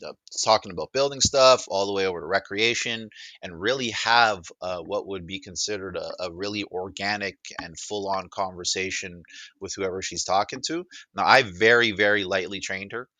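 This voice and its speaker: A man's voice: low-pitched, bright, forceful tone, dry recording, low-quality recording. A man presenting a product demo in casual talk, rambling and often repeating himself as he explains.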